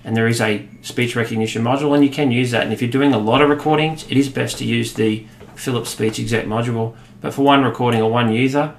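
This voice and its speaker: An Australian man in his thirties walks through a software demo at his desk, relaxed and explanatory, pausing on "uh" and "um". His voice sits mid-range and bounces around an echoey office. A faint hum of room ambience hangs behind him. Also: mid-range voice. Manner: relaxed, explanatory, with frequent fillers. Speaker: product demonstrator.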